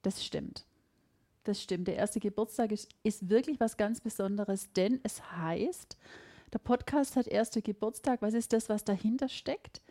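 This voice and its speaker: A woman's voice: mid-range voice, measured pace. A middle-aged woman with a Swabian accent, hosting a podcast in a casual, reflective conversation. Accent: Swabian German. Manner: lively, emphatic, reflective. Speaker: podcast host.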